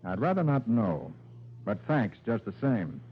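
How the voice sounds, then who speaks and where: An actor playing a brilliant, composed private detective in a 1940s radio drama, speaking in an assured, probing way. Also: male voice, fairly low pitch, big pitch swings, a little slow, loud music behind the voice. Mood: cool, probing. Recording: dull, faintly noisy old radio recording with hum.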